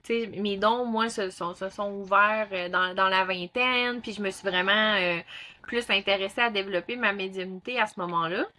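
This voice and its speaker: A woman's voice: mid-range voice, steady tone, moderate pace, sharp tone. A woman in her thirties with a Québécois accent, speaking as an interview guest, with many fillers and restarts. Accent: Québécois French. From Quebec. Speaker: guest, ThetaHealing instructor. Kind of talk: casual interview talk.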